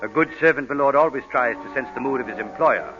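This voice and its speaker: An actor playing a polite, discreet English butler in old radio drama style, deferential and guarded with quiet insolence. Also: male voice, medium pitch, quick pace, close to an everyday voice. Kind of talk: 1940s radio acting. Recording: muffled old radio broadcast, music behind.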